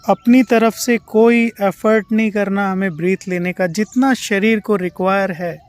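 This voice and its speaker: An Indian yoga nidra instructor guiding relaxation in a calm, soothing way with drawn-out instructions and pauses. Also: mid-range voice. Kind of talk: guided relaxation meditation.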